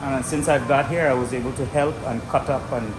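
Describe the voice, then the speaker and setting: A man's voice: medium pitch, steady tone, a little fast, roomy sound, heavy background noise. A man with roots in Trinidad and Venezuela, chatting casually to his viewers while cooking in a home kitchen. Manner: chatty, addresses viewers directly. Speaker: vlogger.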